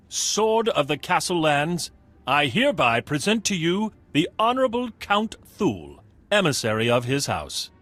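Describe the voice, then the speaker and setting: A ceremonious retainer in a video game drama. His voice is fairly high and very animated, proclaiming formally with deference, over music. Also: exaggerated voice.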